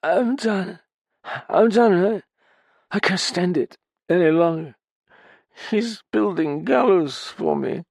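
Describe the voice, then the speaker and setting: A man's voice: high voice, slow, vocal fry, long pauses, no echo, studio quality, voice pushed up, exaggerated voice. A man voicing a drunken, terrified older male pianist who talks in slurred, clipped fragments and pleads. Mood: despairing. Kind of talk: audiobook character voice.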